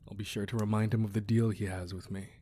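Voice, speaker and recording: A man playing a weary, menacing crime boss with a tender side, in a controlled, deliberate ASMR roleplay delivery. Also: lowish voice, flat delivery, dark tone, breathy edge. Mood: cold, irritated. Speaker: audio roleplay voice actor. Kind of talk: ASMR roleplay acting, close and dramatic.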